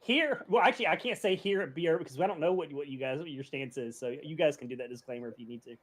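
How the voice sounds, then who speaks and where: A man's voice: on the high side, fast talker, fairly bright tone. A man chatting casually and informally as a podcast host.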